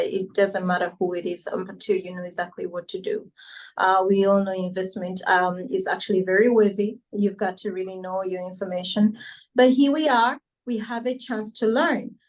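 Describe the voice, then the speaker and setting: A woman's voice: mid-range voice, low-quality recording. A middle-aged woman with a Ugandan accent, speaking informally and directly to an online audience as she gives a welcome and testimonial. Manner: chatty, conversational, addressing listeners directly. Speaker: speaker introducing a coach at an online financial seminar.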